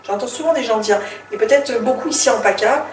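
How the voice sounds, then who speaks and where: A woman's voice: a little high, brisk, soft background music. Middle-aged French-born woman, chatty and reflective interview guest; mic a bit far off in an echoey room. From France. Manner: chatty and reflective, with many fillers and restarts. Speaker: author and former teacher, interview guest. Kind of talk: casual interview, telling her life story. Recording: roomy, distant mic with faint noise.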